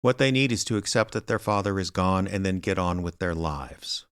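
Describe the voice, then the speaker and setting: An actor playing a sardonic, reluctant small-town investigator in a radio drama, with a dry, wry, hardboiled delivery. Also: male voice, sits low, normal speed, fairly bright tone, smooth, dry recording. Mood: exasperated. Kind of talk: hardboiled detective radio drama narration and dialogue.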